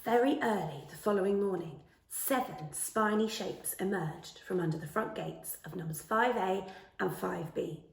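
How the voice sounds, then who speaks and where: A woman in her thirties reads a children's story aloud with careful, dramatic expression. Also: mid-range voice. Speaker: storyteller reading a children's book. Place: small living room, indoors. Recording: roomy indoor echo.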